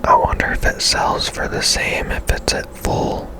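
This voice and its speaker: A person whispering softly and breathily, musing tentatively to themselves in ASMR-style video game commentary. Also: rough recording. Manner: unhurried, musing to self, tentative. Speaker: gaming ASMR video creator.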